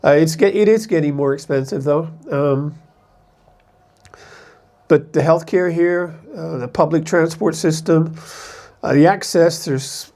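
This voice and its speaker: Vlogger type: man, slightly higher voice, loose rambling delivery with frequent restarts. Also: a little slow, a little mellow. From United States. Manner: rambling, conversational, self-correcting. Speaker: expat vlogger. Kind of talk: casual talk to camera.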